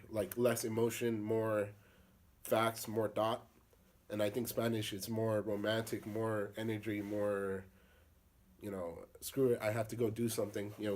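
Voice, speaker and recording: A young man from the United States answering interview questions in a halting, casual way, with many fillers and restarts. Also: fairly low pitch, a little mellow.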